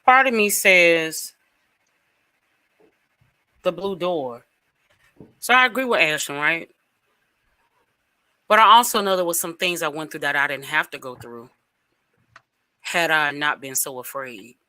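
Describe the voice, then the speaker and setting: A woman on a casual talk show who tells stories in an animated way, acting out quotes and dropping into whispers. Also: deep voice, unhurried, pauses often, dry recording. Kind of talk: casual chat.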